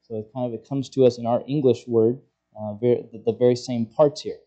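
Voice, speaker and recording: A male preacher with a very dark, mellow voice explains scripture in a teaching style. He tends to halt and feel out his words as he goes. The recording is somewhat dull and muffled. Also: mid-range voice, steady tone. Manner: halting, searching for words aloud. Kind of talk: expository sermon, teaching scripture verse by verse.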